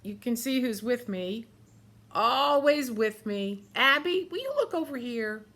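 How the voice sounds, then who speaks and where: A woman, a teacher, reading a picture book aloud at storytime, gently pointing out the pictures and often clearing her throat. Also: a little high, animated, unhurried. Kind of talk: storytime read-aloud to children.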